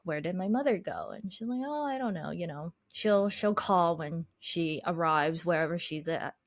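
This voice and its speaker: A woman guest telling her life story in an interview, reflective and unhurried, whose first language is Chinese. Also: fairly low pitch, dry recording, clean studio sound. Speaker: podcast guest, life coach.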